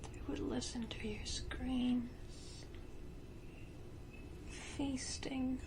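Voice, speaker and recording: A woman voicing a menacing, tenderly cruel vampire matriarch in a slow, breathy, theatrical whisper for an ASMR roleplay. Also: high voice, fairly even intonation, poor audio. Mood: ominous pity.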